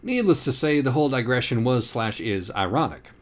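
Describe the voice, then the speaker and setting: A man reading scripted audiobook narration in a wry, deliberate, literary manner. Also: medium pitch, sing-song. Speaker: audiobook reader.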